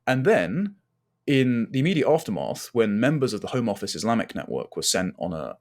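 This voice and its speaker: A man's voice: lowish voice, sing-song, a little fast. A man speaking as a podcast guest, giving emphatic, articulate political commentary in long, building arguments.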